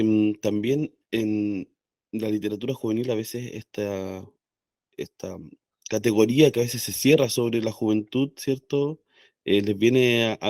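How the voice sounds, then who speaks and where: A man's voice: on the low side, warm tone, no room sound, clean studio sound. A man hosting a radio interview, asking long questions with many hesitant fillers. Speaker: radio host.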